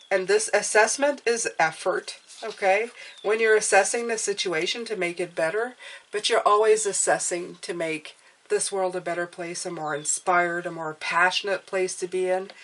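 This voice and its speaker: A woman's voice: mid-range voice, a bit bright, low-quality recording. A woman giving a casual tarot reading who sounds stuffed up and nasal, speaking encouragingly and often checking in with "okay?". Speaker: tarot reader.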